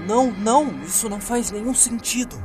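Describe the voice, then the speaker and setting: Man voicing a high, desperate frightened young woman. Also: lively intonation, brisk, music in the background. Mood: shocked refusal. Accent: Brazilian. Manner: pleading, high and distressed.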